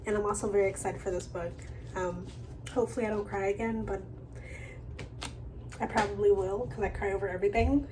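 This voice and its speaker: Young female book vlogger, mid-pitched, enthusiastic and chatty, recorded with clear ambient noise. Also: a little mellow, low-quality recording. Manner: gushing, enthusiastic. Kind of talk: casual reading vlog chat to camera.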